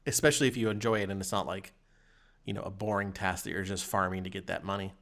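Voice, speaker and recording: A man's voice: sits low, steady tone, quick pace, fairly bright tone. A man hosting a podcast, chatting casually with the others on the show. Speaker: podcast host.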